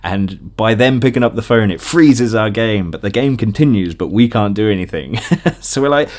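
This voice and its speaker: British guy in his 30s, lowish voice, rambling away casually about the old days, chuckly and animated. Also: moderate pace. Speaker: podcast host.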